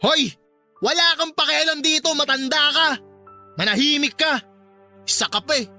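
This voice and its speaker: An actor with a Filipino accent playing a belligerent, abusive husband and father who sneers and shouts in a radio drama. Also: very high-pitched, normal speed, over music. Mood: enraged.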